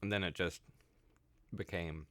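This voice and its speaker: A young man from Ireland with a put-on American accent and a deep, resonant voice, chatting casually as a student radio host, jumping between low and high. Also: fairly even intonation, unhurried, fairly bright tone, dry recording. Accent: American-sounding, put on since his teens. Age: young adult. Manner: jumps between low and high, rambling with many "like"s. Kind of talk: casual chat.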